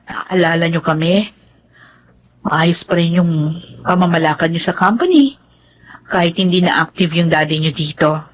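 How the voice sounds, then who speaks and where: A woman's voice: lowish voice, moderate pace, pauses often, dull audio, close to an everyday voice. A woman playing a caring, respectful female office assistant in a Filipino radio drama. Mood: warm, respectful. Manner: respectful, solicitous.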